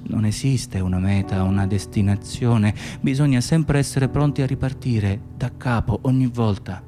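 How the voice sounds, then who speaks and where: A man's voice: medium pitch, normal speed, warm tone, close to an everyday voice. A man playing a persistent, wistful stranger in a radio play, insistent and dramatic, often repeating his phrases. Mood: solemn. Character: persistent, wistful male stranger musing on travel and home. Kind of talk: Italian radio play acting. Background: music.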